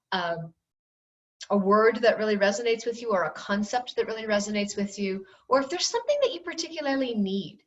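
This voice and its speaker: Woman yoga teacher, roomy distant sound. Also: fairly high pitch. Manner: calm, unhurried, soothing, gently instructive. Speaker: yoga instructor. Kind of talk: guided relaxation and gentle yoga instruction. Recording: roomy, distant, slightly muffled class recording.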